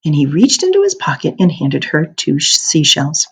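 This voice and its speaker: Woman narrator, storybook read-aloud, expressive, lively varied pitch, husky edge. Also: moderate pace. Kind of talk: expressive narration of a children's novel.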